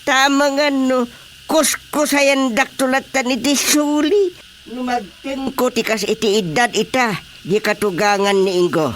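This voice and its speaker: An actor playing an emphatic neighbour who airs family troubles with drawn-out delivery in a radio drama. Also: high-pitched. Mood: lamenting. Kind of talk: Ilocano radio drama acting. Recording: faint background noise with crickets.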